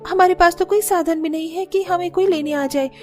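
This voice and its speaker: A woman's voice: extremely high pitch, fairly even intonation, fairly quick, a little mellow, faint music behind, pitched above the performer's own voice. A woman voicing a young student sister-in-law who whines and pleads in a cartoon family story. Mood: upset, complaining. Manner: whiny, complaining. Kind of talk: Hindi cartoon story dialogue.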